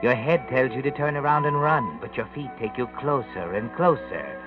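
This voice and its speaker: An actor playing an ominous, all-knowing male narrator who taunts a murderer with deliberate insinuation, in 1940s radio drama style. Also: medium pitch, close to an everyday voice. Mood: menacing. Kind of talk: 1940s radio acting, second-person suspense narration. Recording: tinny vintage radio recording, music behind. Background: dramatic music.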